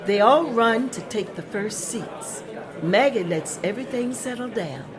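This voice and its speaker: A woman's voice: on the high side, expressive, measured pace, crowd noise. A woman narrating stage directions in a table read, plain and matter-of-fact.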